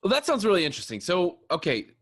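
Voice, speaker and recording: A man's voice: sits high, wildly swooping pitch, fast talker, a bit bright, breathy edge, no room sound, studio quality. A man hosting a podcast interview who asks questions in a casual, chatty, joking way. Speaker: podcast host.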